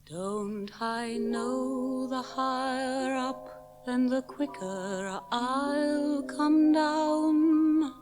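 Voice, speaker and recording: Very slow soft ballad, highish voice, music behind. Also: female voice, steady tone. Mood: wistful. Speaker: singer.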